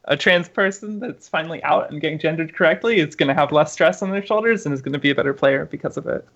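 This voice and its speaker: A woman guest chatting casually on a podcast, halting and searching for words with many fillers. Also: low voice. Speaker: guest gamer and streamer. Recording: dull lo-fi remote audio.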